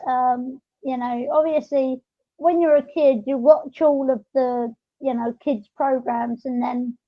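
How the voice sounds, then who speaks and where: High-pitched woman, halting podcast chat. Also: steady tone, no echo. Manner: rambling, halting explanations. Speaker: podcast host. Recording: dull, lo-fi audio.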